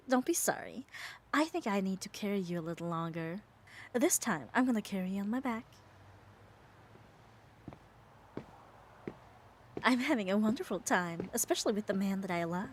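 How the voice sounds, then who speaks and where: A woman playing a muscular, devoted, gently dominant yandere girlfriend in an ASMR roleplay, speaking tenderly and soothingly and often dropping to a whisper. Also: medium pitch, slight background noise, lightly acted. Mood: affectionate. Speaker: ASMR voice performer. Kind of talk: ASMR roleplay, soft intimate acting.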